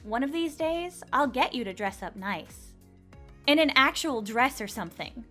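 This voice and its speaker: A woman's voice: high-pitched, expressive, normal speed, pushed and bright, faint music behind, exaggerated voice. A woman playing a chatty, nosy and teasing young best friend in an audio drama.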